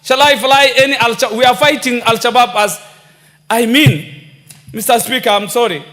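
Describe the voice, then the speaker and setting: A Kenyan man with a notably high voice makes an impassioned speech to parliament, piling up rhetorical questions and turning back to the Speaker again and again. He speaks into a desk microphone, and the chamber's long reverb trails behind every phrase. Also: moderate pace, pushed and bright. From northern Kenya. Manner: rhetorical, repeating appeals to the Speaker. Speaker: member of parliament.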